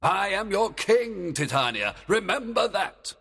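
A man's voice: medium pitch, huge pitch swings, a little slow, a bit bright, breathy edge, in a large hall, pitched above the performer's own voice, heavily acted. A man voicing a stern, jealous and scheming fairy king, commanding, in a storybook. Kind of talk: dramatized storybook acting.

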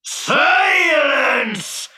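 A man voicing an enormous, furious, vengeful genie in a storybook tale, with a booming, thunderous, roaring delivery. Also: big pitch swings, vocal fry, pushed and bright, echoey room, distant mic, heavily acted. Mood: menacing, roaring. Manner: roaring, drawn-out menacing delivery.

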